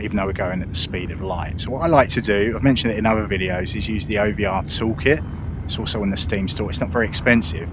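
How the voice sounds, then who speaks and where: A man hosting a gaming video, giving relaxed, self-deprecating gameplay commentary. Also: on the low side. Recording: lo-fi with clear background noise.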